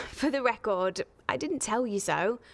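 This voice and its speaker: A woman's voice: a little high, lively intonation, unhurried, vocal fry, heavily acted. An actress playing a tactful, reassuring woman confidante in a radio soap.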